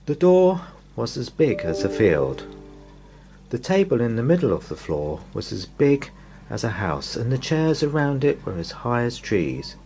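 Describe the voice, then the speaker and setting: A man reading a children's bedtime story in an expressive, dramatic way, lingering on repeated words. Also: medium pitch, unhurried.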